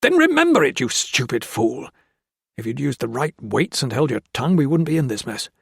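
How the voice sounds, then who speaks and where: A man's voice: mid-range voice, fast, vocal fry, breathy edge, no echo, clean studio sound. A man voicing a crooked army colonel who commands, blusters and threatens. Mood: scheming. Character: bullying male army colonel, menacing and crooked.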